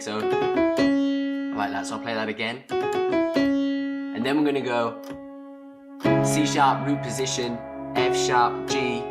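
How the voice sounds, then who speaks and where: A hoarse young British man with a lost voice, giving a relaxed, step-by-step music lesson. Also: mid-range voice. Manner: relaxed and chatty, explains step by step. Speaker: music teacher. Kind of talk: instrument lesson.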